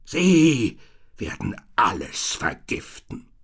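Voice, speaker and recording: A man's voice: on the low side, wildly swooping pitch, takes it slowly, a bit bright, husky, exaggerated voice. A man voicing a weathered, haunted old tinker who speaks urgently in a raised voice.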